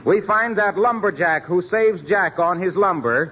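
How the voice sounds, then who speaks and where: A man announcing a 1940s radio show, formal and booming, with earnest appeals to listeners. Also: a little slow, pushed and bright. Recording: muffled 1940s radio broadcast with hiss.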